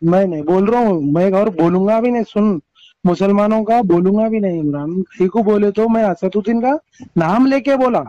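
Male, Hyderabadi accent, high register, defensive and repetitive on a call, dull close phone recording. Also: moderate pace, dark tone, dry recording. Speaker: call recipient. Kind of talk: phone argument.

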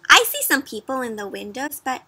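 A woman in her twenties reads aloud to young children in a high, very bright voice. Her delivery is animated and sing-song, and she keeps turning to her young listeners with questions as she goes. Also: fairly quick, husky. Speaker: children's storybook reader and host. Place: outdoors in a leafy yard.

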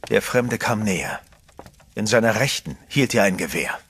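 A man's voice: on the low side, expressive, moderate pace, fairly bright tone, husky. A man narrating a horror radio play in the first person, tense and dramatic. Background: music.

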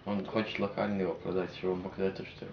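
Young male, deep flat delivery, informal go-between, captured on a lo-fi camera across the table. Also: rapid-fire. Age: young adult. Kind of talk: casual interpreting of a phone deal. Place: kitchen indoors. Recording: roomy, dull lo-fi, clear noise.

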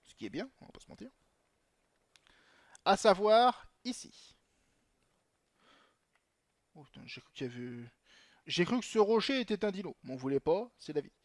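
A man's voice: high-pitched, very animated, normal speed, long pauses, no echo. A young man commenting on his gameplay in a chatty way, swearing often and talking to himself. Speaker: gaming channel host.

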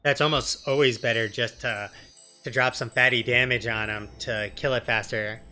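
Bright, punchy-voiced man chatting over a game. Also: mid-range voice, steady tone, normal speed, soft background music. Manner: sweary, colloquial. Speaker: streamer, let's player. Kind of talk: casual chat and live game commentary. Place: indoor room at home.

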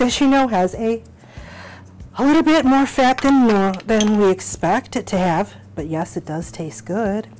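A middle-aged woman hosting a cooking show from a small home kitchen, explaining each step in an encouraging, unhurried way. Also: on the high side, darkish tone, slight background noise, rough recording.

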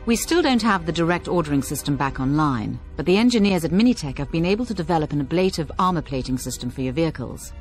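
Actor as fast-talking military tech officer. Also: mid-range voice, animated, soft background music, close to an everyday voice. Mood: businesslike. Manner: precise, businesslike. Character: brisk, technical military support officer. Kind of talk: scripted technical briefing.

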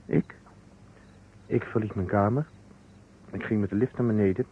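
An actor in an old Dutch radio play playing a weary surgeon, speaking in short, deliberate phrases. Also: male voice, fairly low pitch, fairly even intonation, natural voice. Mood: grave, confessing. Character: weary male surgeon coolly confessing a false alibi. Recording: dull, noisy old radio recording.

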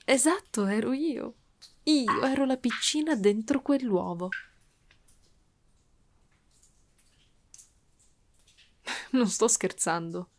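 A woman's voice: fairly high pitch, darkish tone. A woman playing a doting, possessive dragon woman in an intimate ASMR roleplay, cooing and teasing as she lingers on her words. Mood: tender, reminiscing.

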